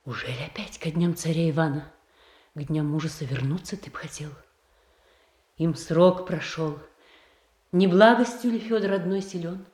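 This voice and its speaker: A woman's voice: sits low, normal speed, long pauses, a little mellow, roomy sound, natural voice. An actress playing a noble, earnest Tsarina who pleads solemnly with her brother in a classical verse radio drama. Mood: earnest pleading. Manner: solemn, theatrical, imploring delivery. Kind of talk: classical verse tragedy in a Russian radio play.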